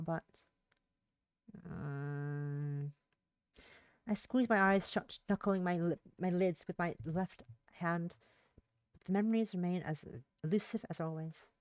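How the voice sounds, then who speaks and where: A woman's voice: fairly low pitch, dry recording. A woman livestreamer reading a visual novel's narration aloud, stumbling over words and rereading phrases, with brief casual asides.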